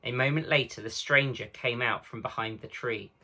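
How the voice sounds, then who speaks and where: Male teacher narrator for children's fiction, bright, forceful tone, mid-pitched. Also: moderate pace. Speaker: teacher reading aloud.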